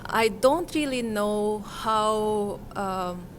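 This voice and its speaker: A woman speaks slowly in an interview. She is reflective and hesitant, pausing to find her words. A faint noise of the room sits behind her. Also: mid-range voice. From Kazakhstan, Central Asia. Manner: hesitant, halting, searching for words. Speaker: interviewee, conference participant.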